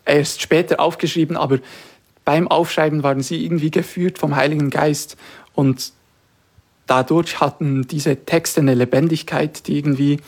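A man's voice: on the high side, steady tone, normal speed, a little mellow, husky. A young man, a radio guest, speaking earnestly with hesitant fillers. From Switzerland. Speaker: guest, discipleship school student. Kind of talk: radio interview.

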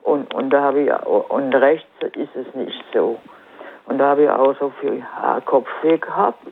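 A woman caller with a southern German accent, stuffed up from a cold, talking hesitantly with lots of pauses and fillers. Her voice comes through a thin, crackly phone line with a faint hiss behind it. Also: rumbling low. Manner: hesitant, halting with many fillers. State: has a cold.

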